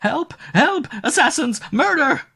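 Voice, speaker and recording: A man's voice: very animated, brisk, a bit bright, slightly rough, exaggerated voice. A man reading a storybook voicing a bossy, stout old female innkeeper who scolds and shrieks. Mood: shrieking. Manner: shrill, scolding, screaming in panic.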